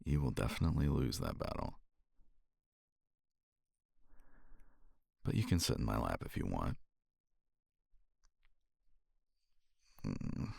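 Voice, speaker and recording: A man playing a cocky, flirtatious competitor in an intimate romance ASMR roleplay, murmuring teasingly with a gravelly, husky, breathy voice close to the microphone. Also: very deep voice, darkish tone, no room sound, exaggerated voice. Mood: flirtatious, admiring. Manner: teasing, unhurried, murmuring with sighs and pauses.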